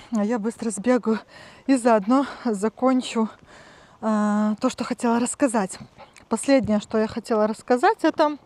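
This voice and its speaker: A woman in her thirties with a slightly high voice talks casually to a handheld camera. She explains things step by step and pauses on fillers as she walks about. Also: darkish tone. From Transnistria, Moldova. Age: 30s. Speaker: vlogger. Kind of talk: casual vlog talk to camera. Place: outdoors and at home, walking.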